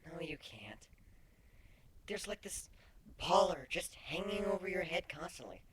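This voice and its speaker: Shy, affectionate female changeling played by a man, storybook reading. Breathy and hushed, pitched up, slight background noise. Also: sing-song, unhurried. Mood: gently teasing. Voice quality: breathy, whispery.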